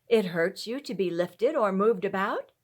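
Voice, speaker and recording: Lady doing a kindly but gruff farmer husband from an old storybook, plain and deliberate. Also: medium pitch, lively intonation. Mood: gently questioning.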